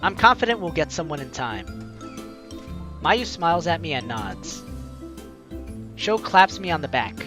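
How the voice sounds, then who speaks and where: A man with a high voice that swings widely in pitch reads a game story aloud. He is playful and theatrical, dropping in his own commentary as host. Light music plays behind him. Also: unhurried, long pauses. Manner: animated, playful, dramatic reading. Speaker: let's play host.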